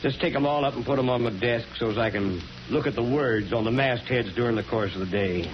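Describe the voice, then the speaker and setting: An actor playing a wry, principled small-town American mayor in a 1940s radio drama, speaking in a folksy, informal way. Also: male voice, mid-range voice, natural voice. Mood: self-mocking. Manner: folksy, drawling informal speech. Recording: muffled, hissy 1940s radio recording.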